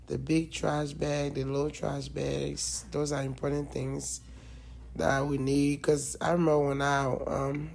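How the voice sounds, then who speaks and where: A woman from Liberia, narrating casually over store footage with relaxed, hesitant fillers. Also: very deep voice, gravelly, a little hiss or hum. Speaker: vlogger.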